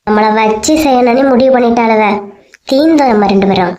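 A woman's voice: fairly high pitch, fairly quick, warm tone, lightly acted. A woman playing a shrewd daughter-in-law in a cartoon village comedy, grumbling dryly and conspiratorially with a Kanyakumari Tamil accent.

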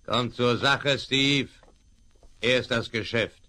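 A man's voice: medium pitch, steady tone, slow pace, bright, forceful tone, a little hiss or hum. An actor playing a blunt, businesslike male safecracker in a 1970s radio play. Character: cool male safecracker crook, mercenary.

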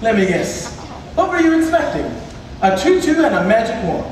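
A man's voice: very high-pitched, big pitch swings, normal speed, slight background noise. A teenage male student actor playing a sassy, wise fairy godfather in a stage musical, with a theatrical way of talking. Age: teens, 17. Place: large indoor theatre stage, distant camera. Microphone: far camera. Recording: echoey theatre stage recorded from far off.

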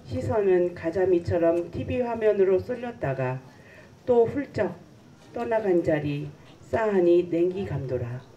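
A middle-aged woman reading a poem aloud at an outdoor festival in a calm, measured way, holding a microphone. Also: on the low side, a little hiss or hum. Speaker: poetry reader. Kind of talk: poetry recitation. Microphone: handheld microphone. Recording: distant, muffled outdoor recording.

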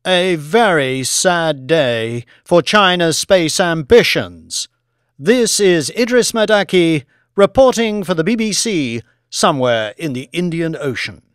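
A man voicing an earnest television news correspondent reporting live, measured and projected, in a dramatised audiobook. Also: fairly high pitch, lively intonation, smooth, no echo, studio quality, voice pushed up. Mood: grave.

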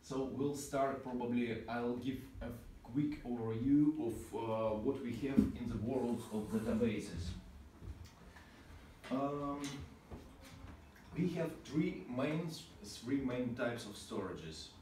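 A man with a Russian accent leads an informal programming class and keeps asking his students questions. His medium-pitched voice comes from a distance, with lots of room echo and steady background noise. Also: takes it slowly. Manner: relaxed, asks the class questions. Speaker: programming teacher. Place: small meeting room with a whiteboard. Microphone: far camera. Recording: roomy, distant, noisy room sound.

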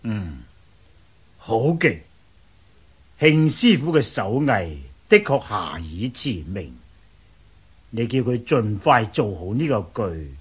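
An actor playing a stately, deliberate king of ancient China in a radio drama, husky, with a Hong Kong Cantonese accent. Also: lowish voice, very animated, heavily acted. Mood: commanding, considering. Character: pleased, patient king of an ancient Chinese state.

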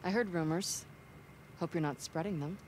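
A woman's voice: lowish voice, normal speed, a crowd in the background, lightly acted, rough recording. A woman voicing a tough, guarded soldier woman. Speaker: game character. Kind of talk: video game cutscene acting.

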